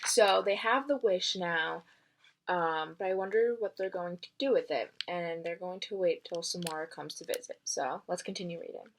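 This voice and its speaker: A woman teacher giving a read-aloud lesson, narrating a children's novel in an expressive, instructive way. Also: lowish voice, moderate pace, slight background noise.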